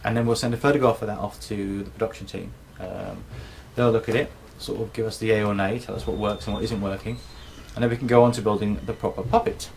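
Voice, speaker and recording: A man's voice: fairly low pitch, fairly even intonation, fast talker, creaky, hardly any pauses, darkish tone, a little hiss or hum. A man explaining his craft as a puppet maker in an informal documentary interview.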